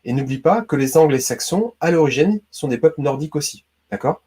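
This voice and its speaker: A man's voice: a little high, expressive, fairly quick, mellow, husky. A man from Brittany hosting a casual quiz video, chatty and talking straight to the viewer with lots of "hein" and "d'accord". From Brittany, France. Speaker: YouTube host.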